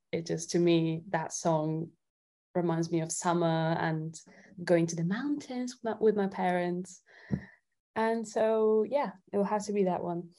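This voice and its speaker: A woman with a lowish, gravelly fry in her voice, talking casually as an interview guest. She is thoughtful and giggly as she works out her answers. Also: dark tone. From Italy. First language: Italian. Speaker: singer-songwriter, interview guest. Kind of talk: casual chat.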